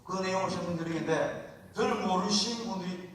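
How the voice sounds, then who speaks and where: A man's voice: high-pitched, fairly quick, a little hiss or hum. Folksy man lecturing in an echoey hall. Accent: Korean regional dialect. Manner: folksy, chatty, asks the audience for replies. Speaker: seminar speaker. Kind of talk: motivational seminar lecture. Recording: echoey hall, mic heard from a distance.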